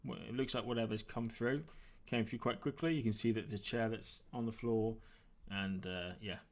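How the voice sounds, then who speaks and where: A man narrating a tabletop role-playing game as game master, describing things in a halting, improvised, conversational way. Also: lowish voice, steady tone.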